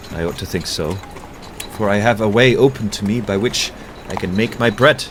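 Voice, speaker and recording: A man playing a gentle, courteous, lovestruck German professor in an audio play, with a German accent and formal, halting phrasing. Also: lowish voice, slight background noise, rough recording. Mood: subdued.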